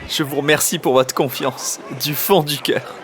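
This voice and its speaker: An actor playing an earnest, overconfident and bumbling husband in a comic audio drama, speaking somewhat pompously. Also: male voice, on the high side, fairly even intonation, measured pace, a bit bright, a faint crowd, slight background noise. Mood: confident.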